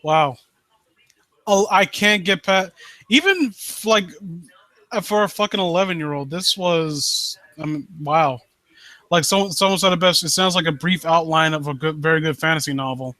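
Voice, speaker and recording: A man with a fairly high, bright, forceful voice chats casually as a critique host. He is blunt and swears freely, and the sound is very dry. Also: normal speed, no room sound. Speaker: host of a live story critique show. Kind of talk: casual chat, off-the-cuff critique.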